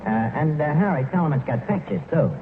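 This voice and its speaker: An actor playing a pompous, malaprop tavern manager with a New York accent, in a 1940s radio comedy style. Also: male voice, on the high side, animated, brisk, vocal fry. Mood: pompous, self-assured. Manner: mangles grammar and big words with pompous confidence. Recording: noisy, tinny 1940s radio broadcast, roomy.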